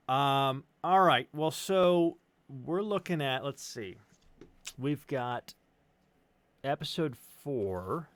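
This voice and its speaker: A man's voice: mid-range voice, lively intonation, extremely slow, a bit bright, no room sound. A middle-aged man hosting a casual livestream, chatting in a rambling, hesitant and joking way. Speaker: stream host and audio drama sound designer. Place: online group stream.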